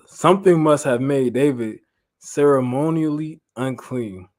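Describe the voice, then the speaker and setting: An American man with a mid-pitched voice leads a Bible lesson as a preacher. He moves between reading aloud and loose, slangy paraphrase. His delivery is informal and conversational, and he repeats phrases for emphasis. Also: a little mellow.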